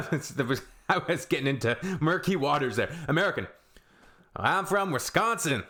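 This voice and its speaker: A man's voice: fairly high pitch, lively intonation, normal speed, bright, forceful tone. Offhand guy reacting casually. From Canada. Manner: rambling, offhand, shrugging asides. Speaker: reaction video host.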